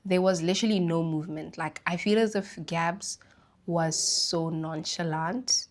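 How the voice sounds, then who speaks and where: A young woman from Botswana hosting a casual solo podcast, her throat slightly raspy from the flu, rambling in a relaxed way about life and spirituality. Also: fairly low pitch, measured pace. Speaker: podcast host.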